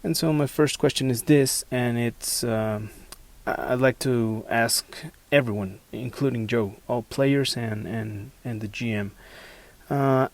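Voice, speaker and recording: A man's voice: medium pitch, a little slow. A man asking a recorded listener question, politely and hesitantly, searching for his words. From Mexico. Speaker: listener sending an audio question.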